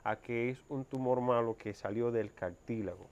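Male guest, 30s, Dominican accent, medium pitch, explanatory conversational delivery, slightly muffled lo-fi audio. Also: normal speed, a little mellow. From Dominican Republic. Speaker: interview guest.

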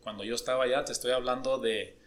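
A middle-aged man chats easily and tells stories about himself. His voice is bright and forward at a middle pitch, with a joking, unhurried delivery and small stumbles on repeated words. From Coahuila, Mexico. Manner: relaxed, jokey, repeats words when starting. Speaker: podcast guest, engineer. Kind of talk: casual storytelling over beers.

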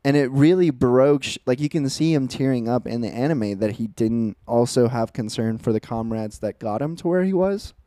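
A young man in his twenties talks through his thoughts in an earnest, reflective way. His voice is dark and smooth, and it sounds dry, with no room echo. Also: mid-range voice, lively intonation, moderate pace, hardly any pauses. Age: 20s. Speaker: podcast co-host. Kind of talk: casual chat.

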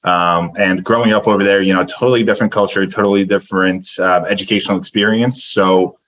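A young man from Turkey, a native Turkish speaker, speaks in a very low voice. He tells his story in a detailed, earnest way, as a dietitian guest on a podcast. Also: fairly even intonation, quick pace, fairly bright tone. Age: young adult. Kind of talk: podcast interview.

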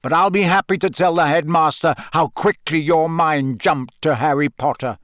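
A man voicing a gruff, battle-scarred older male professor in an audiobook, growling, taunting and sardonic with quiet menace. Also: a little high, expressive, dry recording, studio quality. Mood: challenging. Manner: barking, taunting, deliberate. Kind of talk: audiobook character voice.

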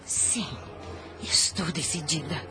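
A woman's voice: sits low, slow pace, bright, forceful tone, slight background noise, heavily acted. An actress playing an anguished, devout mother in a radio soap opera, speaking in a formal, pleading and emphatic way. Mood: resolved. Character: anguished, devout mother pleading to protect her young daughter.

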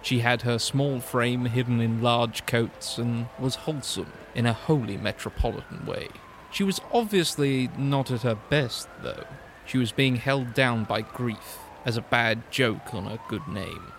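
A gravelly, world-weary noir detective played by a male actor narrates a hardboiled audio drama. His delivery is brooding and wry, with a creaky voice. City sirens and traffic sound behind him. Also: mid-range voice, a little slow, hardly any pauses, lightly acted. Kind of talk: hardboiled noir scripted monologue. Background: sound design of city street with sirens.

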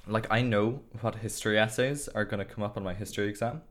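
A man's voice: lowish voice, quick pace. A teenage boy with an Irish accent chatting casually and explaining his schoolwork at length, with plenty of fillers. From Ireland. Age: teens. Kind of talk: casual video chat.

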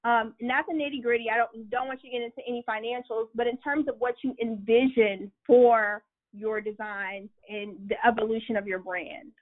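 A woman with a fairly high voice hosts a conversational interview. She is affirming and encouraging with her guest. The audio is narrow and thin, like a phone call. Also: fairly even intonation, normal speed. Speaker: interviewer, host.

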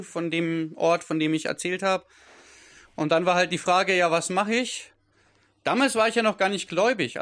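A man's voice: sits high, a bit bright. A German man in his thirties, interviewed as a guest over a video call, talking in a rambling way and often correcting himself. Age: 30s. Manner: rambling, self-correcting, frequent fillers. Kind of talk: conversational interview.

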